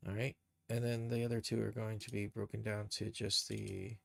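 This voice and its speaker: A man giving an informal live software tutorial, thinking aloud and muttering steps to himself as he works. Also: on the low side, flat delivery, normal speed, gravelly, no echo. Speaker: live streamer, game and cartoon developer.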